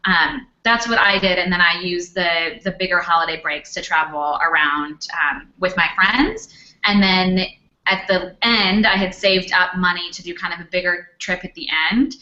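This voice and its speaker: A woman's voice: on the low side, normal speed, bright, forceful tone. A young woman speaking casually on a Q&A panel, chatty with lots of fillers. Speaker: panelist, former English teacher. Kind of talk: Q&A panel talk. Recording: dull lo-fi recording.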